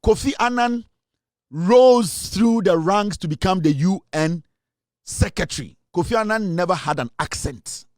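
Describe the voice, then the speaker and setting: A man with a Ghanaian English accent delivers an opinionated commentary in a fairly high voice. He talks emphatically and drives each point home by repeating it. The sound is close and dry, with little room around it. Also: lively intonation, a little slow, a little mellow, dry recording. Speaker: online commentator.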